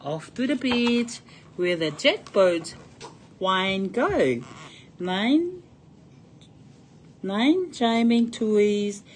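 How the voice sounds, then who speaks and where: A woman's voice: mid-range voice, big pitch swings, drawn-out words, long pauses, a little hiss or hum. A woman reading a picture book aloud to children in a sing-song storybook cadence. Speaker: storytime reader.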